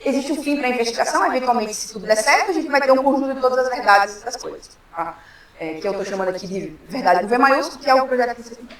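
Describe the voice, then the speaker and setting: A woman's voice: on the high side, lively intonation, quick pace. A woman with a Brazilian accent giving an explanatory academic talk in an informal way, often adding little tag questions to check in with listeners. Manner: informal, frequent tag questions seeking assent. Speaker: academic workshop speaker. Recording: very echoey room, distant microphone.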